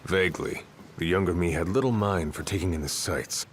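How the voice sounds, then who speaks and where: An actor playing a seasoned older warrior mentor in a video game, gruff and steady, with a measured, wry, understated delivery. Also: male voice, low voice, a little hiss or hum, lightly acted. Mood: grave, steady. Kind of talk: dramatic video game voice acting. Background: loud music.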